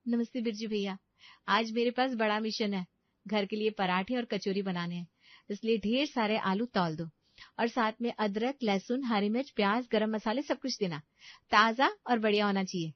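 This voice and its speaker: A woman's voice: mid-range voice, moderate pace, dry recording, lo-fi sound, pitched above the performer's own voice, lightly acted. A woman voicing an earnest, determined young daughter-in-law in a story, speaking in an eager, emphatic way. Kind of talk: animated story dialogue.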